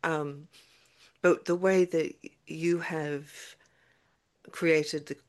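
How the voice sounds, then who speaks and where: A woman interviewer asking questions in a slow, thoughtful way, restating what she has heard. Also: deep voice, fairly even intonation.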